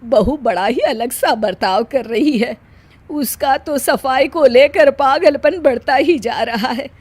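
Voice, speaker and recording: A woman's voice: sits high, takes it slowly, a little mellow, breathy edge, no room sound, exaggerated voice. Woman acting a motherly, coaxing mother-in-law. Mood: worried. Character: kindly, concerned middle-aged mother-in-law, soothing. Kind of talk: acted Hindi moral story.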